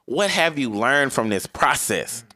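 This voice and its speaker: A man's voice: medium pitch, unhurried, no room sound, clean studio sound. A man co-hosting a podcast, emphatic and profane, often restarting and stacking words as he cuts in. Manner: animated, emphatic, profane, repeats words when cutting in. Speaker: podcast co-host. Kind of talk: casual podcast discussion.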